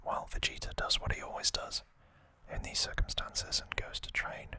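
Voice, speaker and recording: A man softly whispering an ASMR recap in a breathy, unvoiced voice, with an unhurried, halting delivery and pauses. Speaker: ASMR host.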